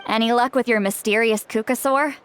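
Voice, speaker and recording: A woman voicing a confident, warm-hearted female leader in a video game, reassuring and measured. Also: on the high side, steady tone, slight background noise, natural voice.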